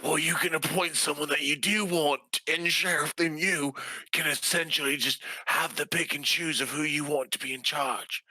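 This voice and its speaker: Bright-voiced man improvising at the game table. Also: fairly high pitch, fairly even intonation, moderate pace, no echo. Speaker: player in a tabletop role-playing game. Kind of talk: improvised in-character dialogue, conversational.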